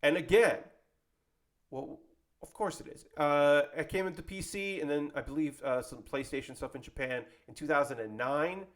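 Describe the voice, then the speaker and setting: A man's voice: a little high, normal speed. A man hosting an anime video series in a casual, rambling, conversational style, self-deprecating and given to tangents and mild swearing. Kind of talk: casual rambling vlog commentary.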